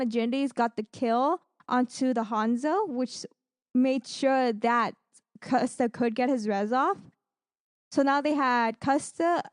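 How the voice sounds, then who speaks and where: Higher-voiced woman, enthusiastic podcast co-host. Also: no room sound, clean studio sound. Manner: enthusiastic, gushing. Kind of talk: casual esports chat and game recap.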